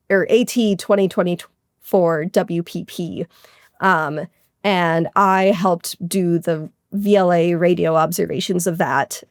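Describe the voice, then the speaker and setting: A woman's voice: lowish voice, dry recording, clean studio sound. A woman speaking as an astronomer guest in an interview, explaining technical things in a keen, informal, conversational way. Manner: keen, informal, technical with frequent hedging asides.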